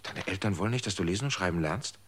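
A man's voice: low voice, very fast, husky. An actor playing an earnest man who asks probing questions and lectures in a radio drama.

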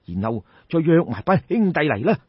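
A man's voice: fairly high pitch, very animated, normal speed, breathy edge, no echo, highs cut off. A man voicing a cautious, calculating soldier thinking to himself in a Cantonese audiobook story. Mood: wary, deliberating. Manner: musing, wary.

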